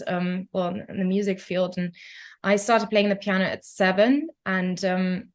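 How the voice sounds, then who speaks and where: A young woman from Germany with a German accent, a podcast guest speaking in a thoughtful, reflective way with soft laughs. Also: medium pitch. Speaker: guest, pianist and founder. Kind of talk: podcast interview.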